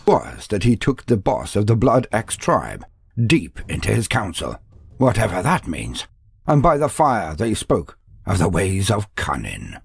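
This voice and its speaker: A man's voice: on the low side, huge pitch swings, measured pace, gravelly, husky, no room sound. A man narrating a fantasy story in a dramatic, theatrical, grandiose style with wry asides. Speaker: narrator of a fantasy lore video. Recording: music under the voice. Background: background music.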